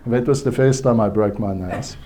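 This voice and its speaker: A man from South Africa, native Afrikaans speaker with a South African accent, telling a personal story at a conference in a halting, reflective way. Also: fairly low pitch, warm tone. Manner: slow, halting, reflective with frequent restarts. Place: conference hall.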